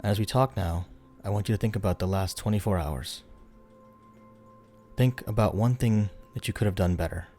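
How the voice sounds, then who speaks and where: A man with a deep, relaxed voice narrates a guided meditation. He gives soothing, unhurried instructions and leaves space between phrases. Soft music plays behind him. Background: background music.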